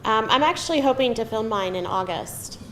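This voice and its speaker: A woman's voice: lively intonation, brisk, fairly bright tone. A middle-aged woman screenwriter casually answering panel questions in a chatty, self-deprecating way. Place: live film festival event room. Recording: echoey room, audible noise and rumble.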